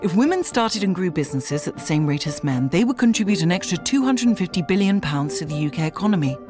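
A woman with a slightly low, expressive voice reads a polished, persuasive commercial. Clear music plays behind her. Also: quick pace. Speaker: ad voice-over. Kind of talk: scripted commercial read. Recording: studio voice-over over loud music.

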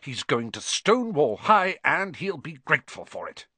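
A man voicing a blustering, bullying middle-aged uncle who hisses and yells indignantly. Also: on the high side, big pitch swings, moderate pace, slightly rough, no room sound, pitched above the performer's own voice, heavily acted. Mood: hissing. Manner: hissing and yelling, indignant.

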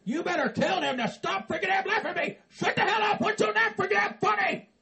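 A man's voice: very high-pitched, animated, normal speed, vocal fry, fairly bright tone, exaggerated voice. A man playing a grumpy, profane middle-aged man who hates Christmas, blustering and exasperated. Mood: angry. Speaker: comedy character.

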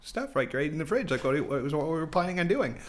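A man's voice: on the high side, sing-song, fast. American man in his late thirties, chatting casually. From Rochester, New York, United States. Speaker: podcast guest. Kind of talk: casual anecdotal podcast chat.

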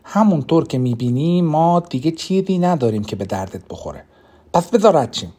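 A man's voice: fairly high pitch, animated, dark tone, voice pushed up. A man voicing a defiant young woman archer who speaks fiercely and commandingly, in an audiobook. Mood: resolute. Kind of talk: audiobook character reading.

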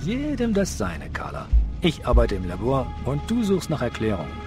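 Chatty male police lab tech acted by a man, mid-pitched with lively inflection, music underneath; radio play. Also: normal speed. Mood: wry. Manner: wry, colloquial. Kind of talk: audio drama acting of a video game story.

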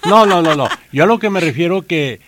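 A man's voice: fairly high pitch, animated, a little fast, crowd noise. A man with a Mexican accent co-hosting a radio show, telling rambling stories in casual chat. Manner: chatty, rambling storyteller. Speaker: radio co-host.

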